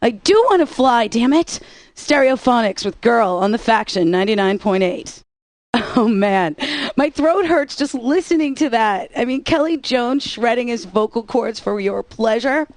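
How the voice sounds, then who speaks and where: A woman's voice: on the high side, expressive, moderate pace, no echo, close to an everyday voice. An actress playing a wry, upbeat alternative-rock radio DJ woman in a video game, introducing songs with sarcastic patter. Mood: playful, wry.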